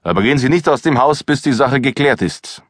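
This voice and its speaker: A man's voice: mid-range voice, expressive, brisk, dry recording, studio quality, voice pushed up, lightly acted. A man voicing a short, portly middle-aged police detective in a matter-of-fact way.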